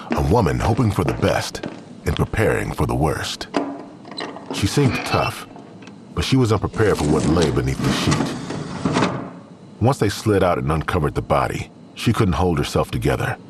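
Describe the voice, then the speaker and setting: A man's voice: rumbling low, very noisy. A man narrating a scripted crime audio drama as its storyteller.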